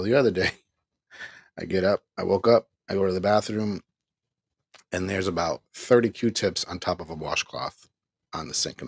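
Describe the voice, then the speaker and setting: A tired man speaks casually, rambling through a candid personal story and breaking into laughs between complaints. His voice sits fairly low with a husky grain. The sound is dry and close, with almost no room around it. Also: dry recording. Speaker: online ministry host. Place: home.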